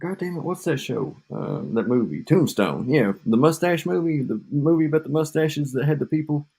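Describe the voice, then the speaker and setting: A man's voice: fairly high pitch, animated, moderate pace, gravelly, warm tone, husky. A man co-hosting a podcast, rambling and joking in casual chat, drifting off mid-thought, with an echo on his home mic. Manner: joking, meandering, trails off. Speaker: podcast co-host.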